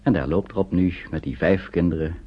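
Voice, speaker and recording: An actor narrating a radio play as a grave storyteller, in a measured, suspenseful, scripted style. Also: male voice, deep voice, breathy edge. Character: grave storyteller recounting a wartime flight. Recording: dull old radio recording.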